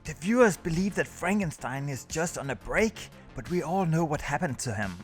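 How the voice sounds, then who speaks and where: A man's voice: fairly high pitch, animated, moderate pace. A man reading a scripted countdown review as the host of a video. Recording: music under the voice.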